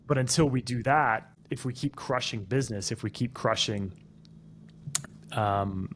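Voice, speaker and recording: A man's voice: mid-range voice, a little slow, slight background noise. A man co-hosting a casual podcast who gives opinions and explains things at length. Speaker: podcast co-host.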